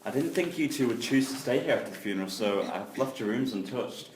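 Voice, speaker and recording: A man's voice: mid-range voice, lively intonation, fairly quick, lightly acted. A man playing a weary, plain-spoken peacemaking brother in an audio drama reading. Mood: weary, mild. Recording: echoey room with noise.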